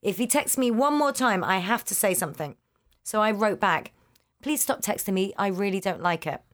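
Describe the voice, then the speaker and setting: Co-host: woman, slight lisp, lively storyteller, mid-pitched. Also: normal speed. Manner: animated storytelling, quoting texts. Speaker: podcast co-host. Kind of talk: casual chat. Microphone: studio mic with pop shield.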